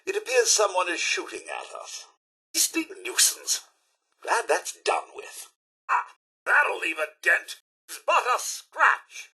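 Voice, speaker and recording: A man voicing a fussy, loyal robot butler in a video game, with a British accent, a raspy edge and a formal, pompous, theatrical delivery. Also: sits high, very animated, slow pace, pushed and bright, heavily acted. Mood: wry, put-upon. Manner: formal, pompous, deferential, exclamatory. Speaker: companion servant in a video game.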